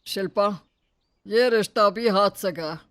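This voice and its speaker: A man playing a dismissive, blunt middle-aged father in an animated Hindi moral story. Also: sits high, measured pace, no room sound. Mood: grumbling.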